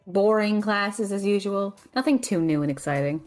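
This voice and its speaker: A woman voicing a blunt, sarcastic young magic student in an audio drama, often exasperated and deadpan. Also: medium pitch, moderate pace, faint music behind, close to an everyday voice. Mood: dry annoyance. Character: sardonic, blunt young magic student, quick to threaten friends. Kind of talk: audio drama character banter.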